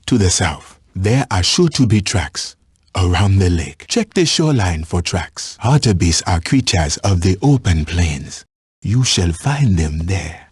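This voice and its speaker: An actor playing a patient, watchful African safari tracker in a video game, guiding a hunter in measured, instructive phrases. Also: male voice, low voice, a little mellow, slightly rough, no room sound, clean studio sound, full character voice. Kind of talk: scripted video game voice lines.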